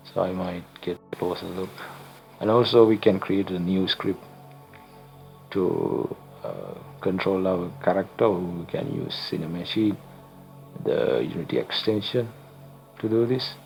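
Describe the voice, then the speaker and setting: A young man from Sri Lanka talks through a coding tutorial in English, which is not his first language. His voice sits low and gravelly, and he explains haltingly with frequent fillers and restarts. Faint music plays underneath. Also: animated, a little mellow. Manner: halting, self-correcting explanations with many fillers. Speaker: channel host, tutorial maker. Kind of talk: screen-recorded coding tutorial.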